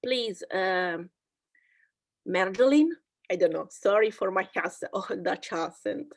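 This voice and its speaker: A woman hosts over a video call and speaks slowly, feeling her way through English with frequent hesitations. The sound is dry and close, with her pitch in a middle range. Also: dry recording. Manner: hesitant, searching for words, many fillers. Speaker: webinar host and interpreter. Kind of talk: casual video-call presentation.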